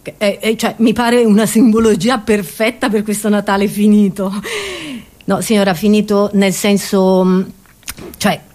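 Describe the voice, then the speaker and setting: A woman's voice: mid-range voice, little pitch movement, measured pace, darkish tone. A woman performing a theatrical comic monologue to an audience, scatterbrained and exclamatory, often breaking off and repeating her words.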